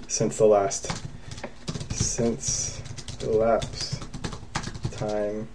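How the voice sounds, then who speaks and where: Young man, unhurried casual tutor, soft mellow tone, faint typing behind. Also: lowish voice, background noise. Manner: casual, thinking aloud with many ums. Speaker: programming tutorial host. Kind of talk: screencast coding tutorial.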